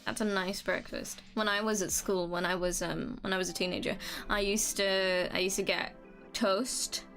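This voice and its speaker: A woman's voice: medium pitch, steady tone, moderate pace, a bit bright, soft background music. A young woman, chatting casually on a live stream, rambling, restarting sentences and laughing now and then. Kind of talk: casual chat reading comments.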